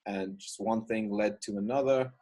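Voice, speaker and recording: A young man, a basketball coach, telling his story as a guest in a casual video-call interview, measured with filler words. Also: fairly low pitch, fairly even intonation, darkish tone. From Ireland.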